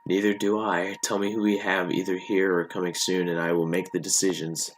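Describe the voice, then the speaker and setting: A man's voice: deep voice, flat delivery, a little fast, a little hiss or hum, natural voice, poor audio. A man voicing a harried, protective leader under fire in a story, decisive and stressed. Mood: resolute.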